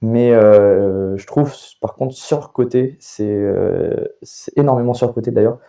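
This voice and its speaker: A man's voice: fairly low pitch, unhurried, vocal fry, mellow. A young man, a bartender and sommelier, chatting casually on a podcast, with lots of hesitations and repeated words.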